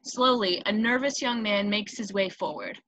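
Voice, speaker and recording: A woman's voice: medium pitch, moderate pace, fairly bright tone. A woman reading scripted screenplay stage directions as narrator, on a dull, noisy home recording.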